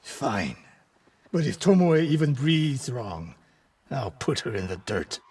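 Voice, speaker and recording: An actor playing a stern, bitter, distrustful older samurai archery master, curt and commanding, in a dramatic video game scene. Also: male voice, mid-range voice, very animated, slow, a little mellow, no echo, exaggerated voice. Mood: grim, suspicious. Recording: clear noise of outdoor game ambience.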